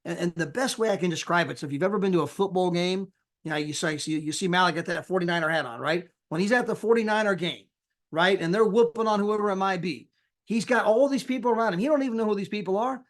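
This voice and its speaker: A man giving an energetic sales pitch on a live call, casual and folksy, acting out the people he quotes. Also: fast. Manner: animated, acts out quoted people with big pitch jumps. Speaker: sales presenter.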